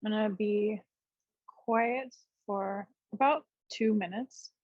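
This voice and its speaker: A woman with a husky, breathy voice leads a meditation as a yoga teacher. She speaks gently in short, unhurried phrases with space between them. The recording is dry and a little dull. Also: medium pitch, no room sound. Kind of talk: guided meditation, unscripted class instruction.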